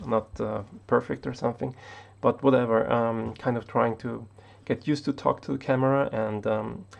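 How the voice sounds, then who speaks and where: A man's voice: medium pitch, fairly even intonation, moderate pace, vocal fry, lo-fi sound. A man from Austria, not an English speaker, talking unscripted to the camera as a tech YouTuber, hesitant with many restarts.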